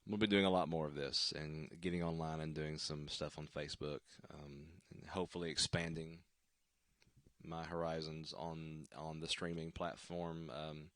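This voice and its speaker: A man with an extremely low baritone, his voice worn and scratchy. He talks casually and haltingly, with lots of ums and restarts. The sound is close and dry. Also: level tone, a bit bright, dry recording. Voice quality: deep baritone. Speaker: worship singer hosting a livestream. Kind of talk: casual livestream sign-off chat. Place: at home.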